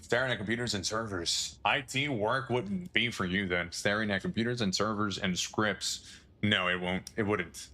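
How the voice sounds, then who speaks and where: Bright-voiced man rambling on stream. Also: lowish voice, normal speed. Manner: rambling, swears, chuckles often. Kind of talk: casual livestream chat.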